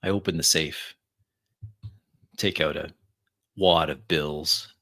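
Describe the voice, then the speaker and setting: A man improvising first-person narration in a tabletop roleplay, in deliberate, halting phrases. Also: extremely low pitch, fairly even intonation, pushed and bright. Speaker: roleplay player.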